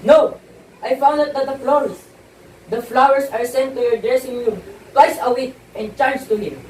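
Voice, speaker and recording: Jealous young wife, played by a woman, high-voiced, imploring, emphatic amateur theatre delivery, roomy sound with faint noise. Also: normal speed, a little mellow, close to an everyday voice. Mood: accusing. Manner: emphatic, pleading, declamatory. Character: distraught, jealous young wife, pleading and accusing. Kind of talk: amateur one-act stage play dialogue. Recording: roomy echo, distant mic, faint noise.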